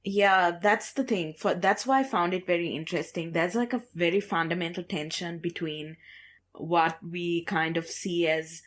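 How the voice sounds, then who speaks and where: A woman with a slightly low voice speaks as a journalist on a discussion panel. She is reflective and a little hesitant, working out her thoughts as she goes. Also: moderate pace.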